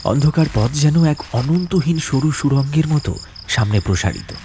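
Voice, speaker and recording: A man narrating a horror audiobook as a storyteller, with suspenseful, atmospheric delivery and deliberate phrasing. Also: on the high side, animated, warm tone, slight background noise.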